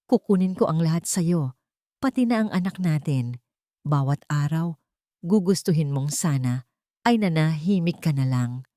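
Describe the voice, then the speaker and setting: A woman voicing a manipulative rich husband in an audio drama, controlling and deliberate. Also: low-pitched, expressive, warm tone, no echo, studio quality. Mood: cold, threatening. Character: manipulative, menacing rich husband, coaxing then coldly threatening.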